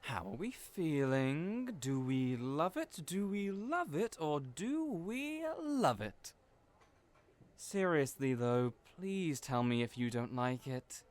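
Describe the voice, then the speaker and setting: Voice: mid-range voice, very animated, slow pace. An actor in an audio roleplay playing a cheerful, chatty hairdresser who is theatrical, effusive and playfully teasing. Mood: gushing.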